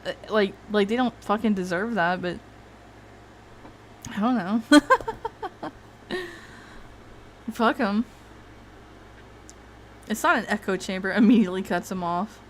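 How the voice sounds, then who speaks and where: A woman's voice: mid-range voice, expressive, takes it slowly, pauses often, a little hiss or hum. A woman hosting a casual livestream, rambling and swearing freely, with frequent laughs. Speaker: streamer host.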